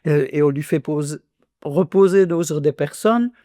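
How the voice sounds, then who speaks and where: Guy in his 60s, born in congo, stop-start earnest talk, mellow voice, dry close mic. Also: fairly high pitch, dry recording. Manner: halting, many restarts and fillers, earnest. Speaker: interviewee. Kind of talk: reflective conversational testimony.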